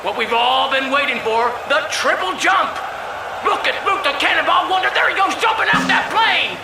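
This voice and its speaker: High-pitched, excitable airshow announcer. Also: male voice, moderate pace, vocal fry, music in the background, crowd noise, exaggerated voice. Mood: amazed, alarmed. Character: excitable male airshow announcer calling stunts. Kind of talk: period flying-circus announcer commentary. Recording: noisy, echoey, distant announcer sound.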